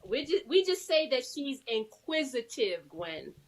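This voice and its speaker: A woman with a high voice co-hosts a live talk show. She is playful and exuberant, slipping easily between reading aloud and informal chat. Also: big pitch swings, measured pace.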